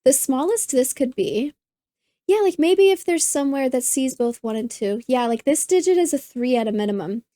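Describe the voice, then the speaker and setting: A woman hosting a puzzle channel, slightly hoarse as she loses her voice, thinking aloud and easily delighted while she solves. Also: high voice, animated, normal speed, mellow, dry recording.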